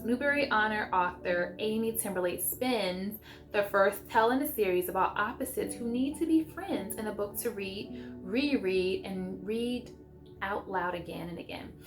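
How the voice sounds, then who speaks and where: A woman vlogging as a homeschool mom, talking casually and reading aloud in an enthusiastic, chatty way. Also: mid-range voice. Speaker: homeschool mom sharing book picks. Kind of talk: casual vlog chat with read-aloud passages. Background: music.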